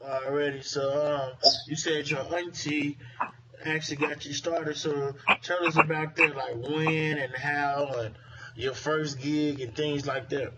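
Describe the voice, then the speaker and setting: A man interviewing a guest, asking casual, unhurried questions full of fillers. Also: on the high side, little pitch movement. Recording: muffled lo-fi line with background noise.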